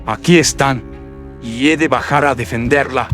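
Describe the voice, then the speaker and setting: A man's voice: medium pitch, normal speed, voice pushed up, close to an everyday voice. A man voicing a frightened but brave young man in a horror radio drama, talking to himself melodramatically. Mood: anxious, resolute. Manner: melodramatic, exclamatory self-talk. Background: loud atmospheric music.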